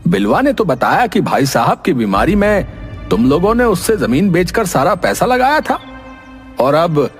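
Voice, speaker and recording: A man playing a corrupt village headman with a slow, officious manner in an animated moral story. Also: high voice, soft background music. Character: crooked, bribed village headman passing judgment.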